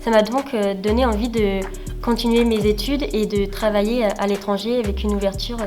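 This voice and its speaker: A young woman from France presenting a student project, speaking in a measured, slightly hesitant way. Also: medium pitch, fairly even intonation, a little mellow. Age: young adult. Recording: faint music behind.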